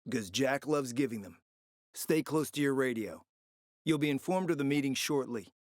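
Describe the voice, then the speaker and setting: A man's voice: medium pitch, expressive, moderate pace, a little mellow, dry recording, clean studio sound, close to an everyday voice. An actor playing a smug, flirtatious, decadent gourmand fixer in a video game, speaking in a pompous, theatrical, condescending way and savoring his words. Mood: smug, scheming. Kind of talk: video game character acting.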